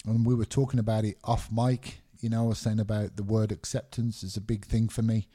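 A man's voice: fairly low pitch, level tone, a little fast, mellow. A middle-aged man, born in Scotland and raised in England, talking candidly as a guest on a casual podcast, rambling with lots of fillers. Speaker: MMA referee, guest.

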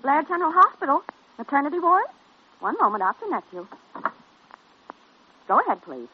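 An actress playing a brisk, exasperated hospital switchboard operator in 1940s radio drama style. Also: sits high, sing-song. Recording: lo-fi old radio recording, faint noise.

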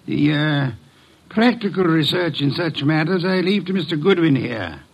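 Casting: pompous armchair sleuth, higher-pitched, exacting and condescending, classic radio drama. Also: male voice, lively intonation, normal speed, gravelly, husky, muffled recording, exaggerated voice. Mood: pompous, aloof. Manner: pompous, aloof, erudite, precise diction. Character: pompous, woman-scorning armchair private detective.

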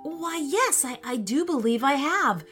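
A woman's voice: fairly high pitch, sing-song, measured pace, pushed and bright, faint music behind, voice pushed up, heavily acted. A woman voicing a helpful, innocent young male wizard in a light, eager way, for a children's story.

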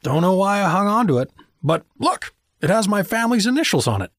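Bewildered wisecracking everyman dad played by a man: husky, animated, sarcastic yet hesitant, very dry close sound, comedic audio fiction. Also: high-pitched, a little fast, dry recording, full character voice. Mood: hesitant, agreeable.

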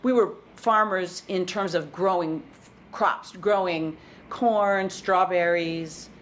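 A woman's voice: lowish voice, slow pace, a little hiss or hum. A woman in her fifties from Wisconsin with a Midwestern accent, a guest recalling her farm childhood in casual interview chat, reflective and full of restarts.

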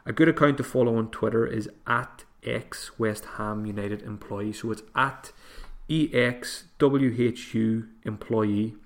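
A man hosting a solo podcast, thinking aloud with frequent restarts, his voice going hoarse. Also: fairly low pitch, steady tone, a little slow, few pauses. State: voice getting hoarse. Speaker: podcast host. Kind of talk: solo podcast advice talk.

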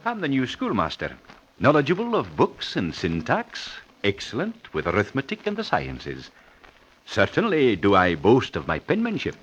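A boastful schoolmaster in vintage radio drama style. His florid, pompous delivery swings dramatically up and down in pitch. The old recording is dull and faintly noisy. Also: wildly swooping pitch, moderate pace, a bit bright.

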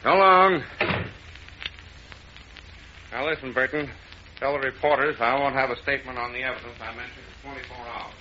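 A man acting a gruff, threatening police inspector in a 1940s radio drama. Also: mid-range voice, quick pace, natural voice. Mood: grudging, stern. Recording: muffled noisy vintage radio broadcast.

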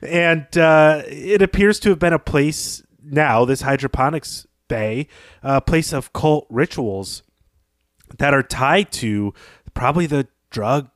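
A man's voice: a little high, slow pace, dry recording, studio quality. A man co-hosting a podcast, analysing literature in a thoughtful, informal conversational style. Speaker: podcast co-host.

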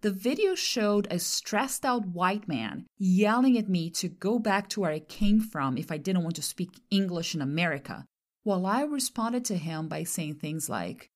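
A woman from Brazil whose first language is Portuguese, hosting a podcast and telling a personal story in a reflective, expressive way. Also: lowish voice, normal speed. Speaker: podcast host and translator. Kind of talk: personal monologue with an ad read.

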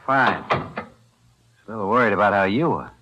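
A man's voice: lowish voice, normal speed, background noise, natural voice. A man playing an offhand small-time crook. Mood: probing. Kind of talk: 1940s radio acting. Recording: vintage 1940s radio broadcast.

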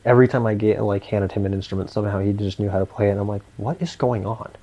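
An American man hosting a podcast, telling relaxed stories with quick restarts. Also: on the low side. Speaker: podcast host, violinist. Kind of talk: casual chat.

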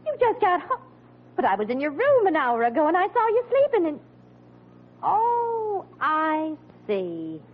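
A woman's voice: extremely high pitch, huge pitch swings, unhurried, exaggerated voice. An actress playing a fretful but caring and shrewd middle-aged mother in old radio comedy. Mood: astonished, reproving. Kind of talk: 1940s-50s radio comedy acting. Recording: muffled, noisy old radio recording, hum.

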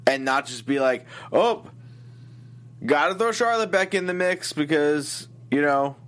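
A man's voice: fairly high pitch, expressive, measured pace, fairly bright tone. A man co-hosting a casual wrestling show, ranting about the way matches are booked with exasperated opinions. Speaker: wrestling recap co-host.

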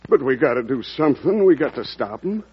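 An actor playing a gruff, rustic farmer angry about taxes, in a 1940s radio drama. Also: male voice, medium pitch, sing-song, a little fast, slight background noise, lightly acted. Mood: indignant. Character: grumbling, angry male farmer. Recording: dull old radio recording.

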